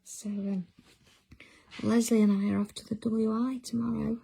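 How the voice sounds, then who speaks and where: A woman's voice: a little high, level tone, moderate pace, gravelly, warm tone, husky. A middle-aged woman, hosting a craft livestream in casual chat and answering viewer comments as she works. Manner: chatty, reads out viewer comments while working.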